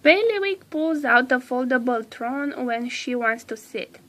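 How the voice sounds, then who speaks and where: A woman with a fairly high voice reads a children's storybook aloud. She goes very slowly and deliberately, stretching certain words as she narrates. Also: expressive, a bit bright.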